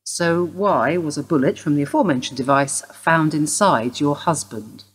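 A woman acting in a radio play as a stern police detective, formal, clipped and insistent, with a husky voice. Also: deep voice, normal speed. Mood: formal, probing. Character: stern, probing female police detective inspector.

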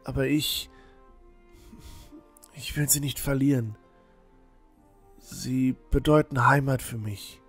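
A man's voice: medium pitch, slow, long pauses, slightly rough, faint music behind. A man playing an earnest, shy teenage village boy in a fantasy audio drama, hesitant and unsure. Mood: anxious, heartfelt.

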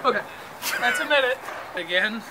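A man's voice: extremely high pitch, lively intonation, moderate pace. A man in his twenties doing improvised comedy and casual chat, physically active as he acts things out outdoors. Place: outdoors on a park lawn. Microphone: camera a few meters away. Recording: noisy outdoor camera audio.

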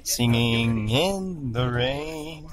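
A young man chatting casually as a travel vlogger, joking and relaxed, filmed on a handheld camera. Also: mid-range voice, extremely slow, over loud music. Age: young adult. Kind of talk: casual vlog commentary. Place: sleeper train cabin and small kitchen.

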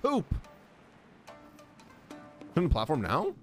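Wildly animated male game streamer. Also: fairly high pitch, slow pace. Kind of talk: casual live gameplay commentary. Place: indoor gaming room. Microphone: close desk microphone. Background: faint game music.

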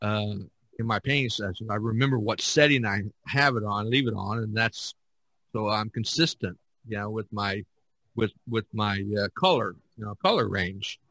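An older man hosts a casual podcast with a bright, forward voice at a medium pitch. He explains things in a rambling way, and the recording is close and dry. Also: normal speed, no room sound. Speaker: podcast host and painter.

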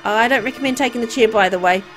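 A woman's voice: on the high side, fast talker, fairly bright tone, faint music behind, lightly acted. A woman voicing a timid, poor young boy who is hesitant, apologetic and naively eager to please, for a game. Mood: meek, rambling.

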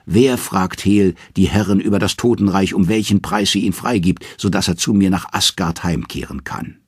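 A man's voice: deep voice, moderate pace, husky, dry recording, studio quality. A man voicing a proud, grieving goddess mother in an audio saga, defiant and imploring. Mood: defiant pleading.

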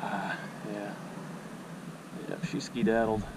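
A man's voice: on the low side, steady tone, takes it slowly. A man chatting casually while fishing, in a colloquial, folksy way, half muttering to himself and to the fish. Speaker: angler filming a fishing outing. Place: outdoors on a grassy pond bank. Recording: noisy outdoor action camera with insect buzz.

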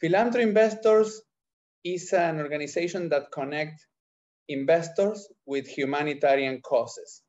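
A man's voice: on the high side, a little mellow. Argentine man on a dull video call. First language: Spanish. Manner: halting, searching for words. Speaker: entrepreneur, podcast guest. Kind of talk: interview answers. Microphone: video call microphone.

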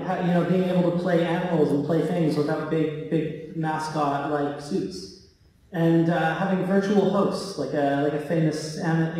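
A man giving an informal conference talk from a theatre stage, casual and a little halting in delivery. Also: fairly high pitch, mellow. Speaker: tech company co-founder giving a talk. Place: large theatre stage, indoors. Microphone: handheld microphone, recorded by a far camera. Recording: very echoey hall, heard from far off.

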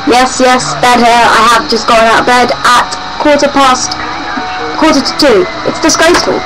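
Eighteen-year-old woman, croaky and half asleep, chatty webcam vlogger over loud background music. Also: moderate pace. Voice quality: croaky morning voice. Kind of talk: casual morning webcam chat. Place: small bedroom, indoors. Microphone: built-in webcam mic. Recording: low-quality webcam audio with loud music behind. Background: loud music playing.

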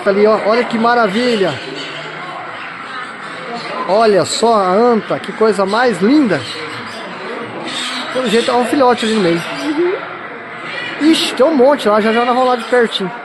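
A man's voice: very high-pitched, moderate pace, pauses often. A man with a southern Brazilian accent narrating a wildlife park visit on his phone, enthusiastic and talking to his viewers, constantly exclaiming in awe. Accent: Brazilian Portuguese, southern Brazil. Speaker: vlogger filming a zoo visit. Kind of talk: casual handheld narration. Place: outdoor wildlife park with enclosures. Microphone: phone camera held while walking. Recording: noisy outdoor phone recording. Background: outdoor ambience and animal sounds.

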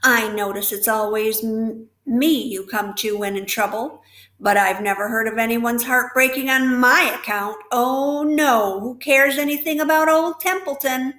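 Sarcastic self-pitying rat, read by a woman, loud and mocking, echoey room. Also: on the high side, moderate pace, pitched above the performer's own voice, close to an everyday voice. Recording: roomy echo.

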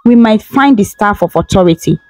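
A woman's voice: mid-range voice, lively intonation, a little fast, darkish tone, no echo, soft background music, close to an everyday voice. A woman voicing a stern royal queen who speaks in a commanding, contemptuous way in a storybook tale. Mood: measured.

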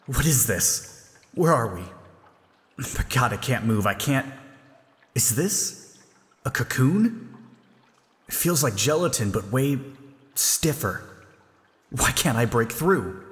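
A man's voice: mid-range voice, expressive, takes it slowly, long pauses. An actor playing a frightened but determined male survivor, pleading and urgent, in a sci-fi audio drama. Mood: panicked. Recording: reverberant hall-like space.

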